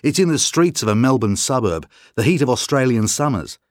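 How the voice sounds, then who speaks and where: An actor playing a calm, articulate writer being interviewed in a scripted exam recording. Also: male voice, mid-range voice, brisk, dry recording, clean studio sound, close to an everyday voice. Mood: earnest. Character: man, calm thoughtful writer and broadcaster. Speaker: interview guest.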